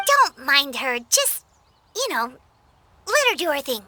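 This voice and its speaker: A woman's voice: sits high, very animated, a little slow, bright, forceful tone, dry recording, exaggerated voice. A woman voicing a tiny, perky, childlike fairy companion who speaks bluntly in a video game cutscene. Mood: perky, breezy. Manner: refers to self in third person, bouncy. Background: game music.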